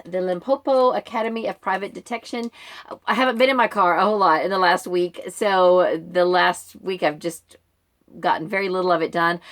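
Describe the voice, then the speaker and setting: A middle-aged American woman giving a casual, conversational reading update to viewers in an informal, rambling way. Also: on the low side. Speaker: book vlogger and book club member.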